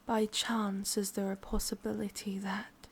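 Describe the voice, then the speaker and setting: A woman with an English accent voicing an ancient, lonely, sadistic witch in a breathy half-whispered ASMR roleplay, speaking slowly with drawn-out pauses. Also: medium pitch, little pitch movement. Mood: probing. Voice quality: breathy, often slipping into whisper. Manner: slow, halting, drawn-out delivery with pauses.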